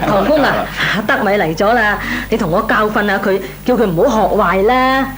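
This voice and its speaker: A woman playing a brusque, defensive auntie in an old Hong Kong Cantonese radio comedy, quick to retort. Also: mid-range voice, natural voice. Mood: brisk. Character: Third Aunt, brusque, defensive neighbourhood auntie. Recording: old radio recording with faint hiss.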